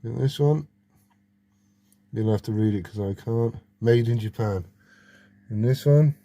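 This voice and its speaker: A British man with a husky voice rambling informally and swearing as he hosts a casual hobby vlog. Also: medium pitch, a little slow, mellow. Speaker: CB radio hobbyist video host. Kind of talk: casual rambling chat to camera.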